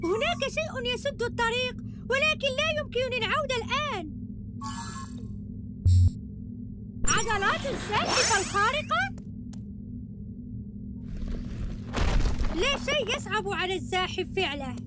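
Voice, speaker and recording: Very high-pitched plucky child superhero. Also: female voice, expressive, fast. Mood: determined. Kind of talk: dubbed children's cartoon game acting. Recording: loud music behind the voice.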